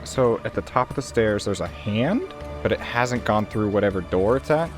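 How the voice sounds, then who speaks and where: A man's voice: lowish voice, normal speed. A man chatting casually and colloquially as a player in a tabletop roleplaying game. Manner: animated, colloquial. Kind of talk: casual, improvised game chat. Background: background music.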